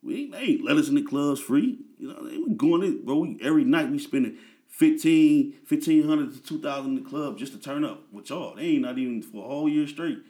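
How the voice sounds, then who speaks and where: A man's voice: fairly high pitch, lively intonation, fairly quick, mellow. A man in his thirties, a guest in a casual interview, talking in a laid-back, slang-heavy way with lots of fillers.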